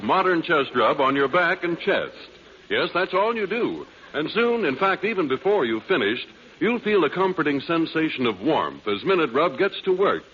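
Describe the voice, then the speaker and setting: A male announcer reading a 1940s radio advertisement with a polished, emphatic sales delivery. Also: a little high. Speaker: commercial announcer. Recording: muffled, hissy vintage radio recording.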